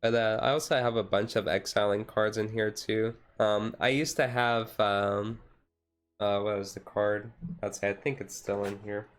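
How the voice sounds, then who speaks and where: A man streamer chatting casually in a relaxed, halting, rambling way, thinking aloud as he goes. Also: on the low side. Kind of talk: relaxed casual chat about a card game, ASMR-style stream. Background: music playing softly behind the voice.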